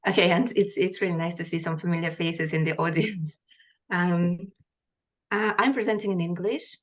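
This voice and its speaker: A woman from Kazakhstan whose first language is Kazakh speaks in a slightly low voice over a video call. She gives an artist's conference talk, hesitant, with frequent fillers and restarts. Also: steady tone, moderate pace. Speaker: artist presenting research. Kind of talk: conference presentation.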